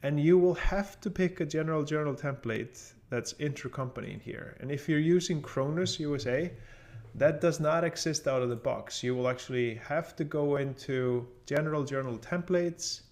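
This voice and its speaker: A man talks through a screen-share software lesson in an informal, instructional style. His voice sits at an average pitch with a clearly gravelly, creaky edge. He explains each step in order, as a trainer would. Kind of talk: casual screen-share tutorial narration.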